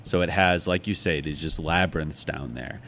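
American guy, really deep voice, podcast guest explaining stuff thoughtfully, noisy recording. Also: moderate pace, fairly bright tone. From Chicago, United States. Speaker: interview guest, author and former museum guard. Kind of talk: conversational podcast interview. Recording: clearly noisy background.

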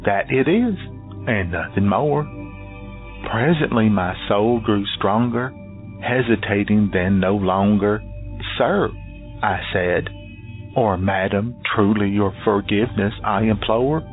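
A man's voice: on the low side, takes it slowly. A man playing a solemn, haunted narrator in a portentous radio recital of a gothic poem. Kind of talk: dramatic poetry recital. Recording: thin phone-like band, music behind. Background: music.

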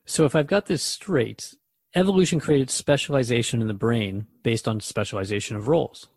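A man's voice: medium pitch, moderate pace, vocal fry, a little mellow, breathy edge, dry recording. A man playing a curious radio host in a radio drama, asking thoughtful questions in a conversational, slightly hesitant way. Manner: inquisitive, conversational, hesitant asides. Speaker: radio host interviewing the Keeper.

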